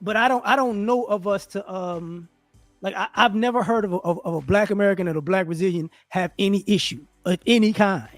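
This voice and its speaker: A man's voice: high voice, fairly quick, no echo, faint music behind. A man co-hosting a live stream, talking casually in slang, telling stories with lots of restarts. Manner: slangy, animated storytelling with many restarts. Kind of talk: casual discussion. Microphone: remote call setup.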